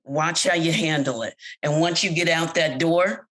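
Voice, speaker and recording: A middle-aged American woman recounting her work experiences in an interview, speaking candidly and growing animated when she quotes others. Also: sits low, moderate pace. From United States. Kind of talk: personal account in an interview.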